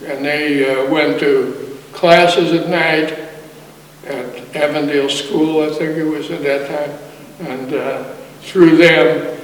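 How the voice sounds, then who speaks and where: An older Polish-born man who speaks slowly, pausing and correcting himself as he remembers. His voice sits a bit high for a man. He was recorded from far off in a big echoing hall with a long reverb. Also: fairly even intonation, slight background noise. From Poland. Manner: slow, hesitant, self-correcting recollection. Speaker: war veteran interviewee. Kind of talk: oral history interview. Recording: distant echoey hall recording.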